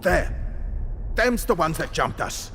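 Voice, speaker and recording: High-pitched, scrappy gang underling. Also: male voice, big pitch swings, sharp tone, exaggerated voice. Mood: urgent. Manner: slangy, hurried informant. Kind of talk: dramatic video game acting. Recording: long reverb, clearly noisy background.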